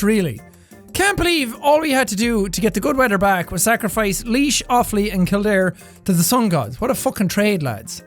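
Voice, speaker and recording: Lively, sweary man with lively pitch. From Ireland. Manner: energetic, sweary, laughs often, mock-outraged rants. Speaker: YouTuber. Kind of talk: reading and reacting to online posts.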